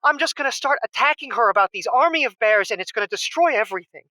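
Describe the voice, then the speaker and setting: A man's voice: very high-pitched, expressive, fast, bright, forceful tone, dry recording, heavily acted, rough recording. A man playing an anxious, suspicious husband calling a radio advice show, stammering and agitated in a comedy audio drama. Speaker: caller.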